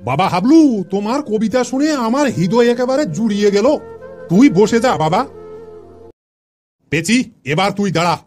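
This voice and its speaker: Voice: mid-range voice, lively intonation, unhurried, long pauses, a little mellow, faint music behind, close to an everyday voice. An actor playing a chatty, inquisitive male schoolteacher who questions pupils familiarly in a comedy cartoon. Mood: approving.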